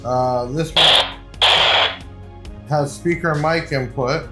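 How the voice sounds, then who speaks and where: A man's voice: fairly high pitch, fairly even intonation, slow. Man reviewing gear in an echoey room. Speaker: product reviewer. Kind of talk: casual unboxing review. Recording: echoey room, faint music behind.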